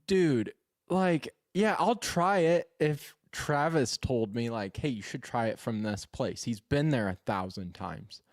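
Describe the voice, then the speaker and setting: A man's voice: medium pitch, animated, unhurried, no echo, studio quality. A man co-hosting a radio chat and joking around. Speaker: radio co-host. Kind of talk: casual chat.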